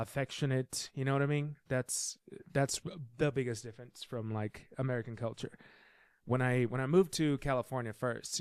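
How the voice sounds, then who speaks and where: A man's voice: medium pitch, smooth, dry recording, clean studio sound. A young man from Brazil with a Brazilian Portuguese accent, a native Portuguese speaker chatting easily as a podcast guest and searching for words now and then. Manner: easygoing, searches for words, trails off softly. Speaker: guest, filmmaker. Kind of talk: casual interview chat.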